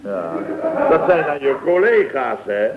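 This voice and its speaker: A man's voice: medium pitch, big pitch swings, unhurried, background noise. An actor playing a grumbling, put-upon older man who rambles through his anecdotes indignantly in a comic radio play, acting out what others said. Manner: indignant, rambling, acts out reported dialogue. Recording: narrow-band old radio recording.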